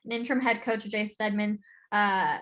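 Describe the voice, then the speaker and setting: A woman with a medium-pitched voice hosts a sports discussion. She speaks casually and informally, like a regular conversation, while guiding the recap from one point to the next. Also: fairly even intonation, moderate pace, a bit bright. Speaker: sports podcast host steering the discussion.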